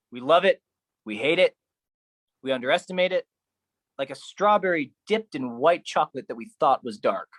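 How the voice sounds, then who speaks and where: Solo monologue performer: theatrical, intense spoken word, unhurried delivery, mid-range voice. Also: a bit bright, no echo. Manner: theatrical, intense, swinging between low musing and raised outbursts.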